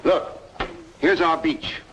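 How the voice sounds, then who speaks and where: A man's voice: on the high side, steady tone, takes it slowly, fairly bright tone, a little hiss or hum. An actor playing a genial, affable man in an old radio noir drama. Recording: dull vintage radio recording.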